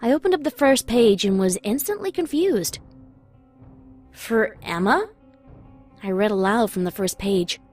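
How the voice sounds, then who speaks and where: A woman's voice: mid-range voice, very animated, a little fast, few pauses, a little mellow. Emotive young woman narrating over music. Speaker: first-person story narrator. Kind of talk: dramatic scripted narration.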